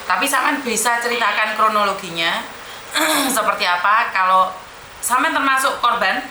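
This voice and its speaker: A woman hosting a live-streamed call-in chat, animated and encouraging, slipping into Javanese terms of address. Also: a little high, normal speed, roomy sound, slight background noise, low-quality recording. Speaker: host of a livestream.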